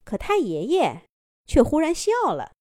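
A woman narrating a children's story in a gentle, expressive storybook manner. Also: high voice, a little slow, dry recording, studio quality.

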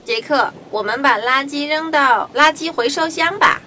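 A woman playing a young girl in a children's radio drama, speaking expressively and clearly. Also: high voice, normal speed, echoey room, background noise, lightly acted. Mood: helpful. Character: Jill, bright young girl playing on the beach.

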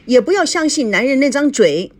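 A woman's voice: sits high, sing-song, measured pace, voice pushed up, heavily acted. A woman voicing a jealous, hot-tempered wife in an audiobook drama, sarcastic, accusing and scolding. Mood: furious.

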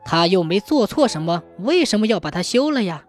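A woman's voice: medium pitch, very animated, brisk, lightly acted. A troubled, dutiful young husband in a folk tale, read by a woman. He sounds meek and earnest, pleading helplessly, with music playing behind. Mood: earnest, protesting.

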